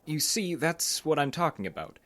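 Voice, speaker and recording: An actor playing a concerned psychologist in an audio drama, a man who is earnest, measured and gently pressing. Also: on the high side, very animated. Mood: probing. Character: concerned, probing male psychologist, earnest and cautioning.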